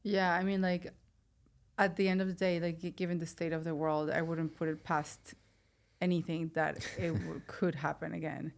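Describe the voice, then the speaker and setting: Lower-pitched woman, chatty podcast host. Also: level tone, normal speed. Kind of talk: casual conversational interviewing.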